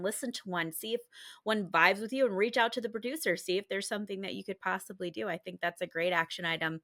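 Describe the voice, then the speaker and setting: A woman's voice: mid-range voice, pushed and bright. A woman hosting a podcast interview in a casual, encouraging and agreeable way. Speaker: podcast host.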